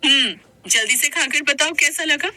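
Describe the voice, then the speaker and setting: A woman playing a practical, hopeful young housewife and mother in a Hindi moral-story cartoon, with an expressive, questioning manner. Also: high voice, moderate pace, dry recording. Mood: playful.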